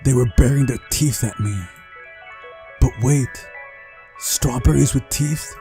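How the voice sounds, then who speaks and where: A man hosting a game playthrough, reading visual novel narration aloud in a mellow voice. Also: medium pitch, slow pace, soft background music. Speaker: gaming video host.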